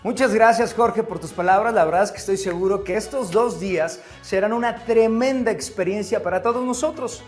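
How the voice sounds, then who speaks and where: A man's voice: high voice, a little fast, few pauses. Polished male event host with music behind. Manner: polished, warmly introducing. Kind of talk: scripted host introduction.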